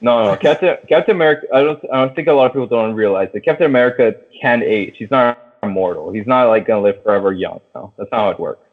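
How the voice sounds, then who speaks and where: A low-voiced man chats casually as a podcast host, slangy and sweary with an excitable manner. The sound is narrow and tinny, like a phone line. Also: fast talker. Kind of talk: casual movie discussion. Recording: thin phone-like band-limited sound.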